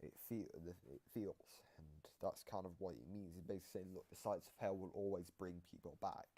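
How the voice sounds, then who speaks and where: A man's voice: deep voice, steady tone, fairly quick, dark tone. A man giving casual analytical commentary, explaining and interpreting as he goes. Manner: explanatory, interpreting lines aloud.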